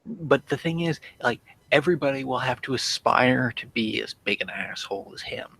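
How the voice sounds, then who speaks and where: A man in a casual online group chat, relaying viewer questions in a halting way that repeats phrases. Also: mid-range voice, expressive, sharp tone, no room sound. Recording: clear background noise on online call.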